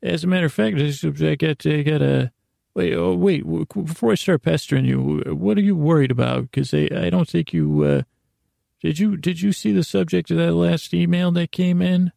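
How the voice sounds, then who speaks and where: Man putting on a nagging, creaky brain voice, pitched up. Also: normal speed, mellow, no echo, studio quality. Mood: teasing, needling. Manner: needling, dithering, interrupts itself. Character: pestering, nagging part of a sleepless brain.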